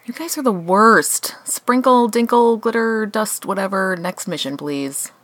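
A woman's voice: medium pitch, measured pace, fairly bright tone, no room sound, natural voice. Woman playing a flippant, fed-up angel. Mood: exasperated. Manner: dry, sarcastic. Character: flippant, weary female atheist angel, reluctant and mischievous. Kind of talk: comic radio play acting.

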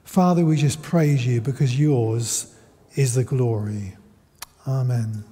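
A man's voice: medium pitch, slow, warm tone, big-room sound. A man leading a spoken prayer in a church, earnest and reverent, at a lectern mic. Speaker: prayer leader. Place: large church hall.

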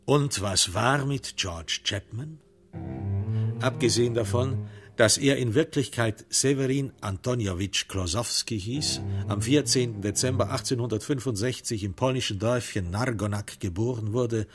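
A man playing the wry, sombre narrator of a crime radio drama, telling it in measured literary prose with dramatic emphasis. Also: mid-range voice, music in the background, lightly acted. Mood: wry, analytical.

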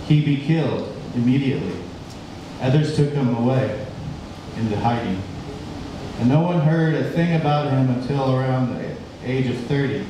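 A middle-aged man reciting poetry live, with deliberate, dramatic phrasing broken into short bursts. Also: medium pitch, distant mic. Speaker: spoken-word poet. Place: small indoor stage at a live venue. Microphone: handheld microphone through PA, filmed from audience. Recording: echoey live venue PA with noise.

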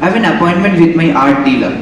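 Young guy acting as a friendly painter, bit higher voice, stagey formal talk, very echoey hall, faint hiss. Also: quick pace, close to an everyday voice. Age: young adult. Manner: formal, theatrical, explanatory. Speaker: student actor. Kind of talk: stage acting in a school play. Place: large theatre stage. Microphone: far stage mic. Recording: echoey hall from far off, noise.

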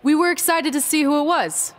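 A woman's voice: sits high, a little fast, close to an everyday voice. A woman playing a wry young champion wrestler in a video game, dry and conversational. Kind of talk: video game acting, narration and dialogue.

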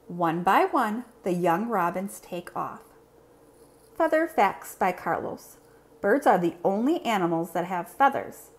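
Woman, children's storyteller, very varied intonation, unhurried pace, expressive read-aloud. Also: mid-range voice. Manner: clear, simple, expressive delivery for young children.

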